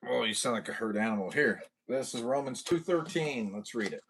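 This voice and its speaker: Man, bright and forceful timbre, easygoing host who talks to viewers like old friends. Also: medium pitch, animated, a little fast, slightly rough. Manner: laid-back, slangy, greets viewers. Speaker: livestream host. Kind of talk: casual chat with viewers.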